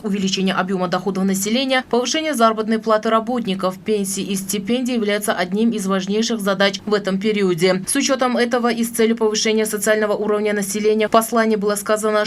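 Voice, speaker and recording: Woman from tajikistan doing a news read, formal and even, mid pitch. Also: a little hiss or hum. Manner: formal, steady official delivery. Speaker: news presenter. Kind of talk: scripted news reading.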